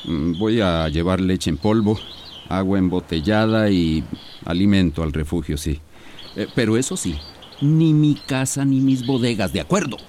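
Actor as a low-voiced, grudging stingy merchant. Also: male voice, normal speed, darkish tone, natural voice. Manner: hesitant, hemming, fussy. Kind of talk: radio soap opera acting. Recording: faint outdoor noise with birdsong.

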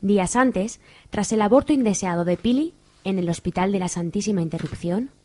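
A woman's voice: mid-range voice, fairly quick. A woman with an accent from Spain reading scripted narration for a radio soap. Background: faint music.

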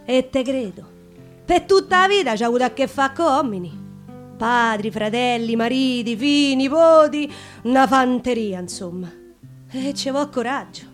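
Defiant wry woman, Roman accent, high pitch, colloquial theatrical storytelling, faint music. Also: lively intonation, normal speed. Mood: wry, confiding. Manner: colloquial, ironic, conversational. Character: wry Roman woman recalling her mother's lessons, ironic, defiant. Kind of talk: theatrical monologue.

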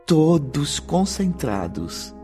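An actor playing a solemn, gentle storyteller narrating a spiritual radio drama in a formal way. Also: male voice, a little high, big pitch swings, very slow, heavily acted. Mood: hushed, descriptive. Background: music under the voice.

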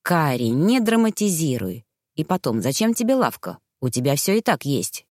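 A woman voicing an earnest, easily exasperated husband in a fantasy audiobook, serious and measured. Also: sits low, sing-song, darkish tone, no echo, studio quality. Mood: defensive.

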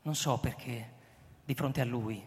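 An actor playing a reflective scientist recounting a secret mission, in measured, literary radio drama narration. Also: male voice, medium pitch, close to an everyday voice. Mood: uneasy, ashamed. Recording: long reverb, music behind the voice.

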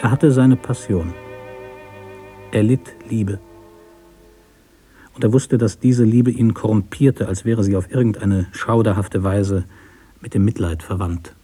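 Male narrator for literary radio prose. Dark, low, deliberate, with instrumental music underneath. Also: steady tone, breathy edge.

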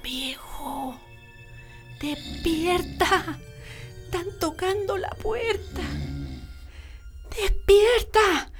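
An anxious rural Chilean wife who nags and frets. Her voice is extremely high and shrill, in a radio play with music behind. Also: extremely slow, pauses often, exaggerated voice. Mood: urgent nagging. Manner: urgent, shrill. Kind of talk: radio drama acting.

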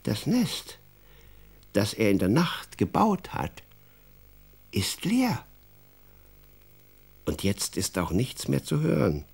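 A man playing an expressive storyteller in a children's radio drama, narrating in a deliberate, dramatic way. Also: fairly low pitch, creaky, husky, full character voice. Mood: hushed, suspenseful. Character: expressive male storyteller who also talks with the boy.

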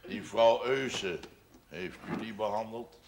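A man's voice: lowish voice, slow pace, darkish tone, slight background noise. A man acting a sociable, mildly disapproving village café keeper in a period television drama, terse and curious. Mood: sociable, inquisitive.

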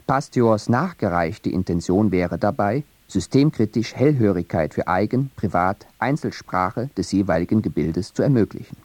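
A man reading a scripted radio feature narration in a measured, academic way. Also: lowish voice, lively intonation, darkish tone, no echo.

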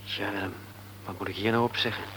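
An actor playing a middle-aged devout friend in a radio play, speaking quietly and calmly as he gives counsel. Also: lowish voice, quick pace, a little hiss or hum, rough recording. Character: calm devout friend, grief-tested middle-aged man. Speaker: friend offering comfort.

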